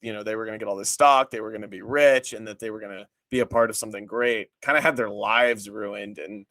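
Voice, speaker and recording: A man's voice: on the low side, fast, pushed and bright, no room sound. Casual man chatting, close dry sound. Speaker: podcast host reviewing a TV show. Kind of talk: casual podcast chat.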